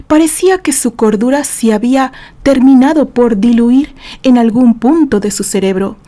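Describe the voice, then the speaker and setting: A woman with a Mexican accent narrating a dramatic story in measured storytelling. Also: on the high side, warm tone. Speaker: author and narrator.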